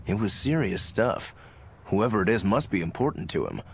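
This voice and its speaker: A man's voice: fairly low pitch, sing-song, normal speed, creaky, a little hiss or hum. A man voicing a knowing, teasing adult trickster in an English anime game dub, with a theatrical delivery.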